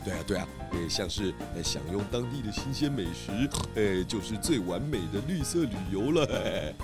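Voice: sits low, lively intonation, moderate pace, over loud music. An actor playing a kindly, food-loving pig detective in a children's audio drama, exclamatory and encouraging. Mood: cheerful, admiring.

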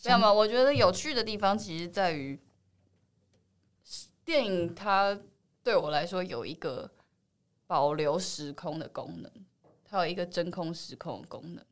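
A woman's voice: fairly low pitch, normal speed. A woman with a Taiwanese Mandarin accent, a film actress answering interview questions in a thoughtful, explanatory way, now and then mixing in English words. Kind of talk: reflective interview chat.

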